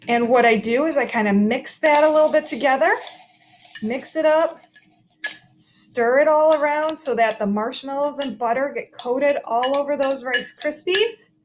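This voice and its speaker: A woman in her thirties, a teacher, giving a cheerful step-by-step cooking demo for children from her home kitchen, picked up by a laptop camera across the counter. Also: a little high. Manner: cheerful, clear, instructive. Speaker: teacher hosting a kids' cooking video.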